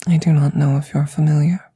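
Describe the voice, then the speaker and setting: A woman's voice: low-pitched, flat delivery, brisk, mellow, breathy edge. A woman voicing a curious, clinical alien scientist in an ASMR roleplay, whispering breathily and phrasing things formally and precisely, close to the microphone. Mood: clinical, explanatory. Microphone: close microphone, ASMR style.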